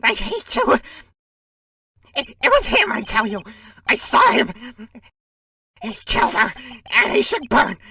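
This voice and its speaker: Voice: mid-range voice, huge pitch swings, unhurried, creaky, pauses often, slightly rough, no room sound, clean studio sound, heavily acted. A performer voicing a shifty, hot-tempered male witness in an amateur courtroom game dub, blustering defensively. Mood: panicked, raging. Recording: distant mic under loud music.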